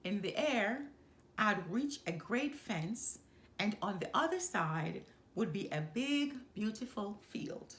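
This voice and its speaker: Female children's storybook reader, lively and varied pitch, measured dramatic phrasing, roomy sound. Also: slight background noise. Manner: deliberate, dramatic phrasing with pauses. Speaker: storytime host reading a children's book aloud. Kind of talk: expressive first-person children's storybook narration. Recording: roomy, echoing room.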